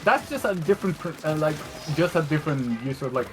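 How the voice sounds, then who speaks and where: A man's voice: fairly high pitch, big pitch swings, a little fast, music in the background. A man whose first language is not English, chatting animatedly on a gaming stream and often searching for words. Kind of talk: casual gaming chat.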